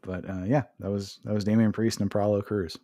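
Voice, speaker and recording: A man's voice: on the low side, level tone, a little fast, warm tone, no room sound, clean studio sound. A man hosting a podcast, recapping wrestling in a casual, joking way with swearing. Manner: swearing, laughing recap with jokey put-on voices. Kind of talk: casual chat.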